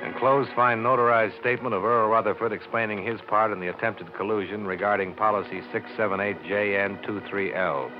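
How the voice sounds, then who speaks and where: An actor playing a tough, matter-of-fact investigator who narrates a 1950s radio drama in a clipped, dry way. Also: male voice, on the low side, lightly acted. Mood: probing, firm. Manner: clipped, dry, hard-boiled. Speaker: lead detective and narrator. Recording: muffled, hissy 1950s radio recording.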